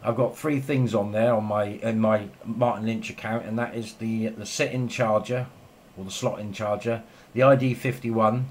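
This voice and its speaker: A man's voice: lowish voice, fairly even intonation, moderate pace. A man chatting casually and enthusiastically over amateur radio in a rambling way. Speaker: amateur radio operator, video maker. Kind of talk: casual two-way radio chat. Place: home radio shack. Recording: clear background noise in room.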